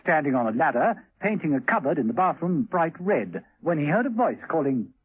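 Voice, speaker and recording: Casting: man as dramatic radio storyteller, fairly high voice, expressive, narrow old-broadcast sound. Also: a little fast, breathy edge, no room sound. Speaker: narrator. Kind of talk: scripted dramatic radio narration. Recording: tinny vintage radio recording with music.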